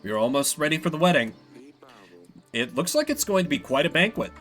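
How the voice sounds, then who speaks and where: Fatherly monarch, male performer: fantasy game, gentle, weary, expressive intonation, music underneath. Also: a little high, fairly quick. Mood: fond.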